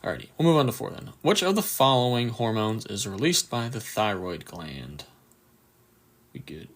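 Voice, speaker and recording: A man with a croaky, just-woken-up voice runs a casual live quiz for anatomy students, reading answer options and cheering on viewers' replies. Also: lowish voice, expressive, normal speed. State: just woke up, a bit tired. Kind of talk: casual livestream quiz review.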